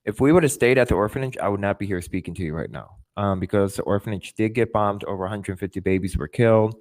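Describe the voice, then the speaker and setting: Low-pitched young man telling his story. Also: fast, darkish tone. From born in Russia, adopted as a child. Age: 20s. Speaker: podcast guest. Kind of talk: recounting life story in interview.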